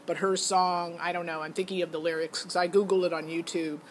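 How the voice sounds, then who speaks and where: A woman's voice: lowish voice, flat delivery, a little fast, a little hiss or hum. A middle-aged woman giving a casual, rambling review as a parent, thinking aloud in a hesitant, matter-of-fact way. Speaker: parent vlogger reviewing a singing show audition.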